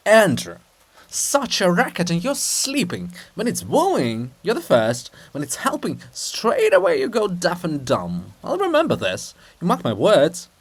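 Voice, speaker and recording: A haughty princess of stone, as acted by a reader, exasperated and long-suffering. The delivery is melodramatic and imperious, swinging widely in pitch as in a stage farce. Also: huge pitch swings, measured pace, husky, full character voice. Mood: pleading, scolding. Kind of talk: dramatic stage reading of a farce.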